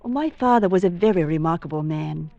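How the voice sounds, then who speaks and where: An actress playing a lonely, coy bookshop spinster in an old-time radio drama, gushing politely. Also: female voice, lowish voice, expressive, a little fast, creaky, warm tone, dry recording, highs cut off. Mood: wistful, confiding.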